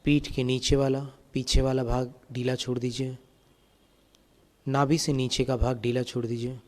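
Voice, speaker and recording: A man guiding a meditation as a spiritual teacher, giving calm, gentle, step-by-step instructions. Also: mid-range voice, normal speed. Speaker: Jain monk and meditation teacher.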